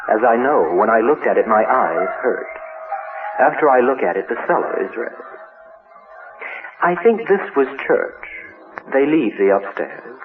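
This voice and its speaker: A man reading a horror story in a formal, measured, theatrical style through a radio filter. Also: lowish voice. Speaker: horror story host and reader. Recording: tinny old-radio sound, music behind.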